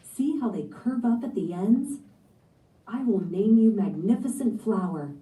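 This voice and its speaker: A woman's voice: mid-range voice, animated, a little slow, mellow, voice pushed up, natural voice. A woman voicing a nervous, apologetic, earnestly pleading young girl in a storybook read-aloud. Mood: admiring, pleading. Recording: echoey room, distant voice.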